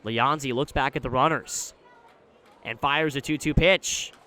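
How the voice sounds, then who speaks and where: A man doing live radio play-by-play commentary of a baseball game, describing the action in a measured way that rises when the play picks up. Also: mid-range voice, sharp tone. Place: live at an outdoor ballpark.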